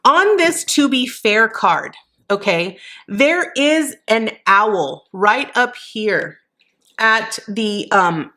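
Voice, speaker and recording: Slow, bright-voiced woman reading tarot cards. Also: on the high side, expressive. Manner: reflective and encouraging, with many fillers. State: rough voice with a slight cough. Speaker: psychic tarot reader. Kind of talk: monthly tarot card reading.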